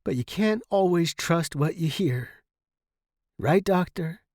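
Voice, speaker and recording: A man's voice: a little high, animated, takes it slowly, darkish tone, no room sound, clean studio sound, voice pushed up. A man playing a battered saxophone star in a dramatic story reading, intense and anguished, a bitter, frightened but defiant patient. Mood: agitated, defiant.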